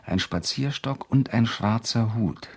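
An actor playing a brooding, reclusive writer with a husky voice in a German radio crime drama. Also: male voice, lowish voice, measured pace, exaggerated voice. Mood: intimate, pensive. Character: brooding male writer, reclusive, edgy and evasive.

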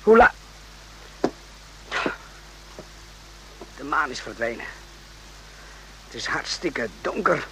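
An actor playing an uneasy, rough-spoken soldier on night watch in a radio play, agitated and often repeating himself. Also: male voice, medium pitch, very animated, measured pace, bright, forceful tone, a little hiss or hum, full character voice, rough recording. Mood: alarmed.

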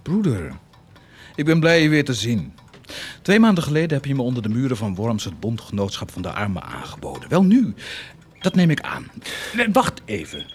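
An actor playing a proud, grandiose army commander turned zealous do-gooder, declaiming theatrically in a radio drama. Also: male voice, medium pitch, huge pitch swings, moderate pace, a little hiss or hum. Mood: exalted, eager. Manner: declamatory, swinging from confiding to indignant.

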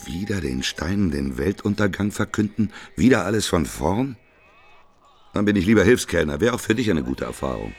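A reluctant prophet in a radio drama. His voice is extremely low and creaky, and it swings widely as he argues and grumbles with sardonic weariness. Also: big pitch swings, normal speed, full character voice. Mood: indignant. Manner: grudging, sardonic retorts. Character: weary, grumbling male prophet, reluctant and argumentative. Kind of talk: radio play dialogue.

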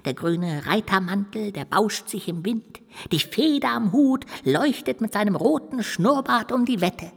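Children's radio play: mischievous little ghost, highly animated and breathy, with long reverb. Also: female voice, unhurried, hardly any pauses, full character voice. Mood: curious wonder. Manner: exclamatory, musing to itself with little hums and sighs. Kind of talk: children's audio drama acting.

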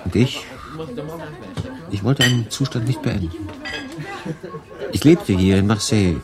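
Low-voiced, wry refugee man over music. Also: a little slow. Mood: reflective. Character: wry, guarded male refugee narrating his own story. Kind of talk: radio drama narration and dialogue.

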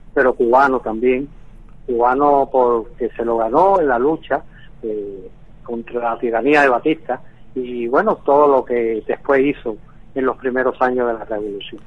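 A man in his sixties, born in Venezuela, speaking by phone from Havana in a measured way with frequent hesitations. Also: mid-range voice. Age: 60s. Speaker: guest. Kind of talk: phone interview. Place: in Havana, Cuba. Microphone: telephone. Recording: tinny phone line.

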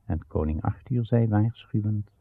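A man's voice: on the low side, measured pace, a little hiss or hum. A man narrating a radio drama as a storyteller, in a solemn, archaic style. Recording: muffled, narrow-band radio recording.

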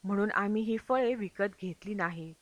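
A woman's voice: mid-range voice, normal speed, no echo. A woman reading a travel memoir as scripted audiobook narration. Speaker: audiobook reader.

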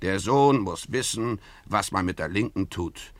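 An actor playing a savage, gleeful pirate captain in a radio play, gloating theatrically and relishing destruction. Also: male voice, sits low, animated, a little slow, no room sound. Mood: sly, mocking. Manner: theatrical, gloating, swinging from murmur to bellow. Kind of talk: German radio play acting.

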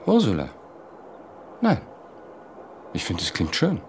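An actor playing an evasive, placating husband in a subdued radio drama, speaking in terse, quiet, hesitant lines. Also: male voice, lowish voice, wildly swooping pitch, slow, slight background noise, exaggerated voice.

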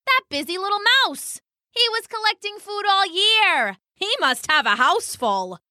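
A woman's voice: extremely high pitch, very animated, unhurried, sharp tone, no echo, clean studio sound, voice pushed up, exaggerated voice. A woman voicing a brash, hungry female pheasant in a children's story, pushy and taunting. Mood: eager, scheming.

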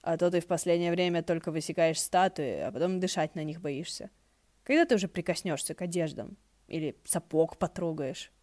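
Woman as a sardonic, grumbling male ghost, low-pitched. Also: fairly quick, a little mellow, natural voice. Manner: snide, muttering.

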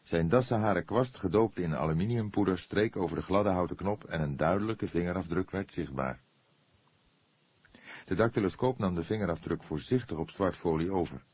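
Deep, husky male audiobook narrator. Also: moderate pace, darkish tone. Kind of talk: scripted narration of a detective novel.

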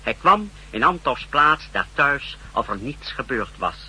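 A man's voice: medium pitch, big pitch swings, measured pace, sharp tone, slightly rough, heavily acted. An actor playing a zealous secret service subordinate, reporting formally and deferentially to his chief in a 1960s radio drama. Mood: brisk, formal. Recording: faintly noisy 1960s radio recording.

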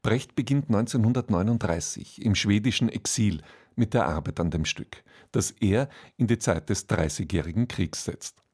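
A man presenting on radio, reading a scripted introduction to a play in a slightly husky voice. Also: on the low side, moderate pace, darkish tone.

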